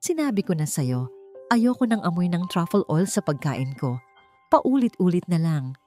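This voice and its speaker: A woman's voice: on the low side, sing-song, moderate pace, warm tone, faint music behind. A woman with a Filipino accent voicing a cruel, angry rich husband in a cold, scornful, commanding manner for a romance story. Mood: cold contempt.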